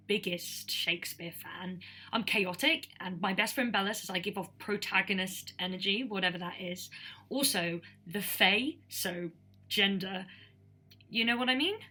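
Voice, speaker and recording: Eager student auditionee woman acting, young, chatty, casual, bright and forceful, mid pitch. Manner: gushing, rambling, casual. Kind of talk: mock audition tape.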